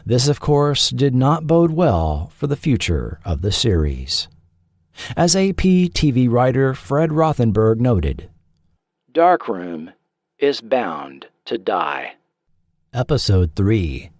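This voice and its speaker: A man hosting a show, reading scripted TV history narration in a measured, deliberate way with pauses between phrases. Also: mid-range voice, sing-song, a little mellow, no echo, clean studio sound. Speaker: podcast host and narrator.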